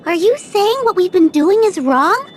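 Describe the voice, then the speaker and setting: An actress playing a small, girlish companion in a video game, expressive, puzzled and anxious. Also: very high-pitched, measured pace, slight background noise, heavily acted. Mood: worried.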